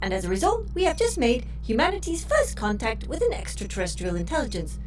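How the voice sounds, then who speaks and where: A woman's voice: a little high, wildly swooping pitch, fast, darkish tone, distant mic. A woman acting a sarcastic, easily slighted female spaceship computer in a sci-fi comedy audio drama. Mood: miffed, sarcastic. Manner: sarcastic, petulant.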